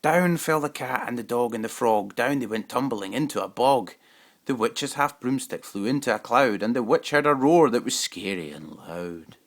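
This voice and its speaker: A man giving an expressive, dramatic reading of a rhyming children's picture book. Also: medium pitch, moderate pace. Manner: dramatic, rhythmic rhyming delivery. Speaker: storybook reader.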